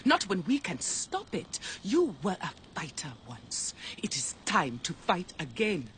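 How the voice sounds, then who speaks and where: An actress playing a grieving but resolute wife in a dramatic video game. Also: female voice, on the low side, huge pitch swings, unhurried, fairly bright tone, slight background noise, heavily acted. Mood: sorrowful, resolute. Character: grieving, resolute wife urging her husband to fight.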